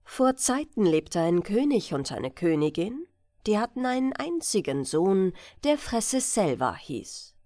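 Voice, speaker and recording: A woman narrating a fairy-tale audiobook for bedtime with expressive, deliberate phrasing. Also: medium pitch, no echo.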